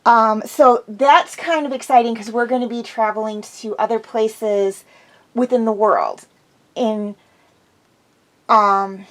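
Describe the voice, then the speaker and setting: A woman's voice: on the high side, fairly even intonation, slow, a bit bright. A woman host chatting casually and enthusiastically through an episode review, slightly congested from a cold.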